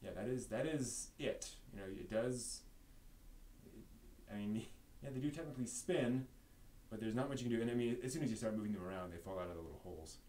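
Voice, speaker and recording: Casual rambling man over clear background noise. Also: fairly low pitch, fairly quick, mellow. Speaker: live stream host. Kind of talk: casual unscripted live stream chat. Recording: noisy room background.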